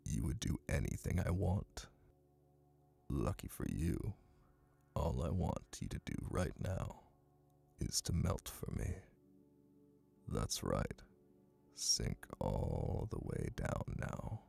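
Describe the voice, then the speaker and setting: An exceptionally deep, crackling voice from a male actor plays a smug, dominant hypnotist boyfriend in an ASMR roleplay. He coaxes and teases intimately, unhurried and breathy, yet soothing. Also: sing-song, mellow, faint music behind, heavily acted. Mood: hypnotic, soothing. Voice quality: gravelly, breathy. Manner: slow, coaxing, teasing.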